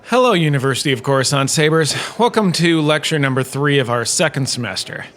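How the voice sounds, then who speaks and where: An actor playing a vain, self-important professor and holodrama star, giving a wry, chatty comic lecture. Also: male voice, a little high, expressive, brisk, a bit bright.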